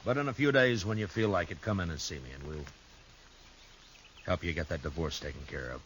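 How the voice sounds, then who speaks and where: Calm, dry frontier lawman, very deep, 1950s radio play. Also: a little fast, a little hiss or hum. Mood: kindly, wry. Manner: calm, low and wry. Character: steady, dry-witted male frontier marshal. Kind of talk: 1950s radio drama acting.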